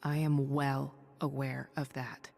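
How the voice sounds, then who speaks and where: A woman voicing a weary, noble superhero thunder goddess for a video game, confiding in measured, breathy speech. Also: very deep voice, level tone, darkish tone, in a large hall, faint music behind, heavily acted. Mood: somber. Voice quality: breathy, husky. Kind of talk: video game voice acting, dramatic dialogue and audio-log monologue.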